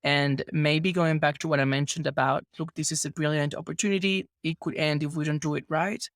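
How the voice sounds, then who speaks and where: A man from Venezuela hosting a podcast interview, talking in a thoughtful, measured way with a lot of careful phrasing. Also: on the high side, fairly even intonation, fairly bright tone, no echo, studio quality. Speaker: podcast host. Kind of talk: casual interview conversation.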